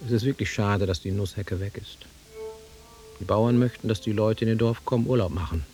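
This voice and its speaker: An actor playing a volatile, nostalgic country-bred man in a German radio drama, brooding and taunting, with a rough husky voice that swings from muttering to outbursts. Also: on the low side, a little fast, mellow, soft background music, lightly acted.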